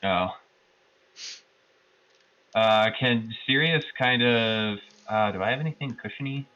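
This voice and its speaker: A man's voice: mid-range voice, takes it slowly, sharp tone, clean tone, lo-fi sound. A man playing a tabletop roleplaying game, improvising casually and thinking aloud in a hesitant way.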